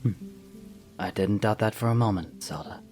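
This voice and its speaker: Guy doing a chill young knight, deep voice, reserved, easygoing, fantasy audio drama. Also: normal speed, darkish tone, soft background music, close to an everyday voice. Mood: softly teasing. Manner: reserved, easygoing, brief replies. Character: calm, laid-back young male knight, quietly confident.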